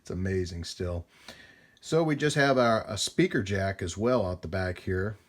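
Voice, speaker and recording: A man's voice: low-pitched, lively intonation, moderate pace. A man hosting a casual hands-on demonstration of vintage radio gear, talking in a rambling, folksy way with hesitations as he works. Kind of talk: casual hands-on vlog narration.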